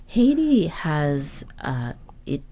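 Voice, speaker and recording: A woman's voice: low voice, very animated, drawn-out words, dark tone. A woman hosting a conversational interview, reflective and probing, building her questions gradually. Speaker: host, interviewer.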